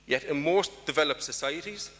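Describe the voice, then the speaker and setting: Bright-voiced Irish man giving a talk. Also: fairly high pitch, moderate pace, big-room sound. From Ireland. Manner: emphatic, rhetorical questions to the audience. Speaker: conference speaker. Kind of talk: conference talk. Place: large conference hall.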